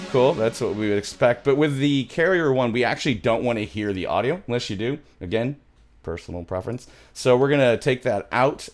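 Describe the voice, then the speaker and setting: Man, middle-aged, lowish voice, informal tutorial host, thinking aloud, home studio mic. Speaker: music production tutorial host. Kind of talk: casual software tutorial. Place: small home studio room.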